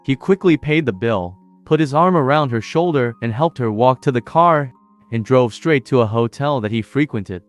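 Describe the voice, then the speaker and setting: A man reading scripted narration for an animated story in a steady, even storytelling manner. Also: mid-range voice, normal speed. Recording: dry voice-over with faint music.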